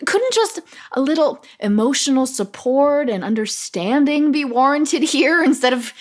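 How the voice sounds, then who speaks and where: Exasperated grown daughter, high and lively, slangy and pleading. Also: female voice, a little slow. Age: young adult. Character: frustrated, needy, sarcastic adult daughter. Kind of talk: audio play acting.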